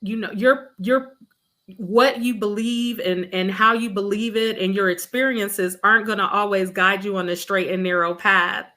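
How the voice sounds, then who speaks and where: A woman's voice: mid-range voice, fairly even intonation, moderate pace, sharp tone. A woman from Mississippi, a school district academic officer, telling her story earnestly with a few fillers. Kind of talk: interview guest.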